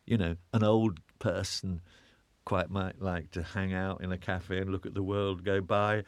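A British man with a very deep voice talks through his ideas in a loose, reflective way, circling back and stumbling over repeated words. The recording is dry and close, with no room sound. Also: level tone, a little slow, no echo. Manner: rambling, self-interrupting, repeats words when searching. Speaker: interview guest, urbanist. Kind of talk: reflective conversational interview.

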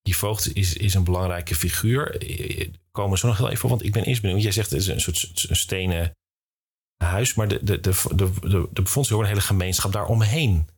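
Very deep-voiced male Dutch podcast host. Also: brisk, husky. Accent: Netherlandic Dutch. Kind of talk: scripted intro and casual interview.

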